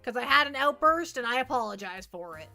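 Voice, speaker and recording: A woman's voice: fairly high pitch, animated, a little fast, gravelly, pushed and bright, faint music behind. A woman chatting casually to viewers as a livestream host, rambling playfully and often repeating her phrases.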